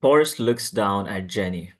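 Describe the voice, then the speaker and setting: A man reading scripted stage directions at a table read, halting and stumbling over words now and then. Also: on the low side, lively intonation.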